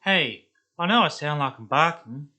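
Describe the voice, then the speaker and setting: A man's voice: on the high side, lively intonation, normal speed, sharp tone, voice pushed up. A man voicing a tiny, annoyed cartoon dog with an exaggerated Australian accent, pleading insistently.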